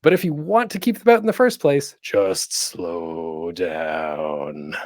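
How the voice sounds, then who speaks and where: A man hosting an informal online tutorial, talking in a chatty, playful way with deliberate pauses for emphasis. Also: sits low, expressive, a little mellow, no room sound, studio quality. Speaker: panel host and podcasting instructor.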